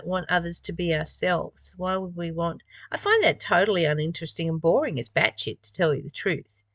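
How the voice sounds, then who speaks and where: A woman's voice: on the low side, no echo. A woman reading spiritual text aloud and commenting on it informally in a reflective, musing way, often posing rhetorical questions. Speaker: spiritual study reader and commentator.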